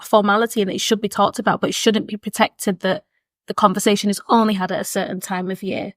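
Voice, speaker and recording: Podcast guest: late-20s woman, mid-pitched, earnest and reflective, close dry recording. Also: dry recording. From born in Zimbabwe, raised in Leeds, England. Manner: earnest, reflective, repeats words while forming thoughts. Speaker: podcast guest, education consultant. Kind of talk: thoughtful conversational interview answers. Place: seated at a table with the hosts.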